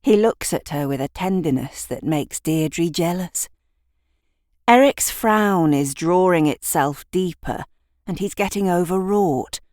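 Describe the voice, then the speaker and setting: A woman reads scripted story narration in a slow, somewhat low voice. She slips into wry, conversational asides as she tells the tale. The sound is dry, with little room around it. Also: lively intonation, a little mellow, no echo. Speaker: writer and narrator of audio drama.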